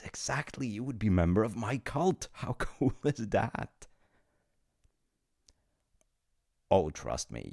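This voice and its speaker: A man playing a chummy, rule-bending supernatural agent of reincarnation in a comedic audio roleplay, with a creaky voice and informal, hesitant salesman-like patter. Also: sits low, darkish tone, full character voice. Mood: teasing, conspiratorial.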